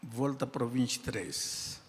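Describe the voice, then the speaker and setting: An older man with a non-native accent in Portuguese, preaching a sermon in slow, deliberate phrases with emphatic pauses. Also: mid-range voice, slight background noise. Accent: non-native speaker of Portuguese. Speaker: pastor. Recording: reverberant hall sound.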